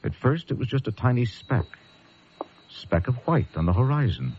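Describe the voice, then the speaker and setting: Actor as wry lighthouse keeper, muffled radio drama. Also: male voice, mid-range voice, sing-song, a little hiss or hum, close to an everyday voice. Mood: grim, suspenseful. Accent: American. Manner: dramatic, deliberate storytelling. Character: wry, world-weary male lighthouse keeper recounting a horror tale. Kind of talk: first-person radio drama narration.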